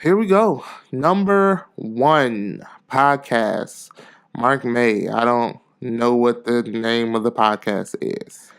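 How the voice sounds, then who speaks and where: Stuffy-nosed man, clear-voiced podcast monologue. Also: mid-range voice, slow pace. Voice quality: stuffy, congested nose. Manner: earnest, self-motivating, repeats phrases for emphasis. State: getting over a cold. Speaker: podcast host. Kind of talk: rambling, casual motivational monologue.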